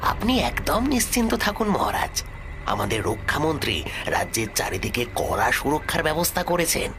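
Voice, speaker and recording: A loyal, deferential cartoon minister, acted by a man with a gravelly creak. The pitch moves in lively swings as he advises his king. Music is clearly heard underneath. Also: moderate pace. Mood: reassuring. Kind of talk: dramatic cartoon dubbing.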